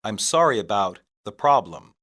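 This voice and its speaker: A man reading scripted English practice phrases for learners, deliberately and in separated phrases. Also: on the low side, very animated, a bit bright. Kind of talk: scripted language-lesson reading.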